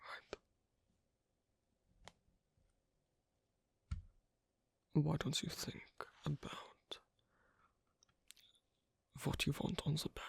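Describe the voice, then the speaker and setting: A performer voicing an asocial, reticent werewolf in an ASMR roleplay, speaking haltingly in a breathy, husky half-whisper. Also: flat delivery, dry recording, heavily acted. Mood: guarded, awkward. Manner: halting, hesitant, low-key. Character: asocial, reticent young werewolf on a sewing date.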